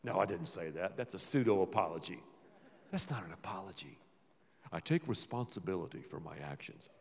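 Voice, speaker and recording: A man preaching a sermon as pastor, playful and dramatic, often dropping to a low murmur. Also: lively intonation, a little fast, creaky, slightly rough. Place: large church hall. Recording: reverberant church hall.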